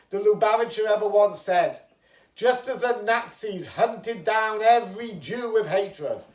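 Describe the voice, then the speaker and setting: High-voiced rabbi speaking solemnly, echoey room. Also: male voice. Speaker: rabbi giving a memorial address. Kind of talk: solemn speech and prayer. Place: echoey room, pre-recorded video. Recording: echoey room, slightly distant mic.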